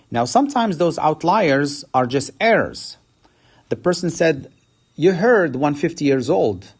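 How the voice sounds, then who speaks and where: Husky man explaining statistics. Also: a little mellow. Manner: explanatory, rises in pitch to stress key words. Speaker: math teacher. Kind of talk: recorded statistics lecture.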